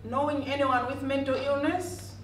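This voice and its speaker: A woman's voice: high-pitched, fairly even intonation, moderate pace. A woman from Malawi with a Malawian accent addresses an audience as a panel speaker, in emphatic, short, direct phrases. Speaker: panel speaker, community health advocate. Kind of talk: personal public speech addressing an audience. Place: conference room panel table, indoors. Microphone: handheld microphone, distant camera. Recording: echoey room, distant, faint noise.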